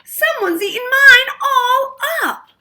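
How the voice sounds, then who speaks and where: A woman voicing a small young panda boy in a storybook, wailing in a squeaky high voice. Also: lively intonation, slow, sharp tone, full character voice.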